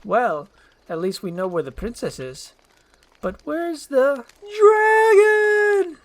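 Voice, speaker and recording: A man voicing a gruff, impatient male ogre with a brusque, mocking manner, in a storybook screenplay reading. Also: extremely high pitch, sing-song, slow, pitched above the performer's own voice, exaggerated voice. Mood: puzzled. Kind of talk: storybook screenplay read with character voices.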